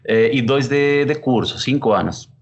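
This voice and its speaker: A man's voice: medium pitch, dull audio. Argentine man, easygoing interview answers. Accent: Argentine Spanish. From Córdoba, Argentina. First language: Spanish. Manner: unhurried, thoughtful, lots of "é" fillers. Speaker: chef and pizzeria partner.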